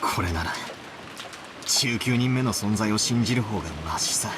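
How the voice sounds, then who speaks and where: An actor playing a brash, defiant young man in a Japanese visual novel, with rough, slangy, emphatic speech. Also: on the low side, quick pace, close to an everyday voice. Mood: exasperated, pleading. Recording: steady rain noise behind. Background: rain.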